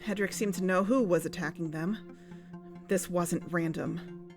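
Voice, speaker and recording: A woman's voice: fairly low pitch, unhurried. A woman playing a determined investigator in a fiction podcast drama, earnest and insistent. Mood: tense, resolute. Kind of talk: fiction podcast drama, confessional narration and dialogue. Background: music.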